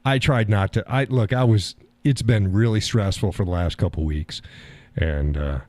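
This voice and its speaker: A man hosting a live call-in stream, talking in a calm, easygoing way and chuckling often. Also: fairly low pitch, moderate pace. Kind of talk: casual chat.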